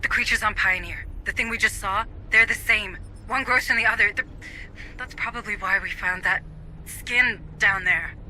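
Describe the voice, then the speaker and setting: Techy support officer in a video game, super bright cutting voice, brisk and to the point, faint hum behind. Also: female voice, mid-range voice, low-quality recording. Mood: uneasy, explaining. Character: sharp, technically minded female administrator on mission support. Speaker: remote mission support officer. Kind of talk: video game dramatic acting.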